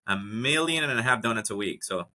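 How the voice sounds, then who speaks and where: A man's voice: medium pitch, expressive, quick pace, pushed and bright. A man from Ecuador, a guest telling his career story in a casual interview, with lots of fillers and restarts. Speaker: guest, HR executive.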